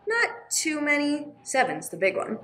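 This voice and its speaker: A woman playing a gentle, earnest, sorrowful nun in a radio play, speaking with some hesitation. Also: high-pitched, huge pitch swings, a little slow, heavily acted. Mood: earnest, helpful. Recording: clear background noise, room ambience.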